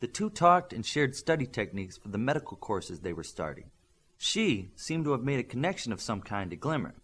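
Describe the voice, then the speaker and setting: A man's voice: mid-range voice, big pitch swings, brisk, a bit bright, slightly rough. A man reading a scripted fiction story as an audiobook narrator.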